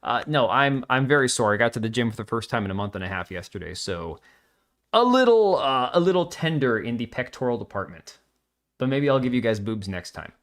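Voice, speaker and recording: A middle-aged man running a live stream, with a voice of ordinary pitch for a man. He rambles loosely from point to point and tosses off quick jokes in answer to chat. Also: animated, a bit bright. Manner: rambling, jokey, reacts to chat with quick asides. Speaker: livestream host.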